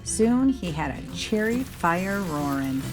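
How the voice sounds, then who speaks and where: Female storybook narrator, expressive read-aloud for young children, music underneath. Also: on the low side, takes it slowly.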